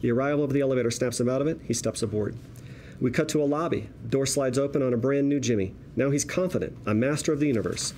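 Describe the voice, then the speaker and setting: Mellow man narrating a screenplay. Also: mid-range voice, fast, a little hiss or hum. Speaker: narrator reading screenplay directions. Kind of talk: scripted narration at a table read.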